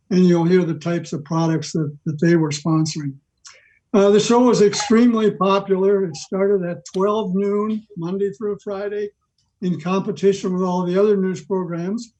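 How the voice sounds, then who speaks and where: High-pitched man, halting presenter. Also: darkish tone, poor audio. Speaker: club presenter introducing an old radio program. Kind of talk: informal spoken introduction. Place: online video call meeting. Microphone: video call microphone.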